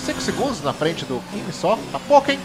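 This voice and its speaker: A man's voice: high voice, sing-song, fast talker, loud music behind the voice. A man from Brazil giving casual, joking commentary while he races in a simulator game. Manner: joking, exclamatory, chatty. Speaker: gaming streamer. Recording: clear background noise from game engine.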